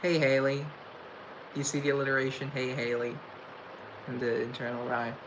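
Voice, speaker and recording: A man's voice: mid-range voice, level tone. Stuttering young man chatting on a livestream. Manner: halting, stuttering, restarts words; reflective and chatty. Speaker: livestream host. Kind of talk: casual livestream chat, reading chat aloud. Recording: noisy room tone.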